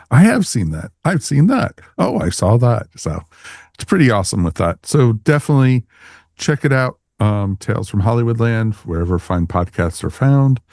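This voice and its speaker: A man's voice: on the low side, expressive, normal speed, vocal fry, a little mellow, dry recording, studio quality. A man hosting a podcast, chatting casually and informally with co-hosts and listeners. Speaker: podcast host. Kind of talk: casual podcast sign-off chat.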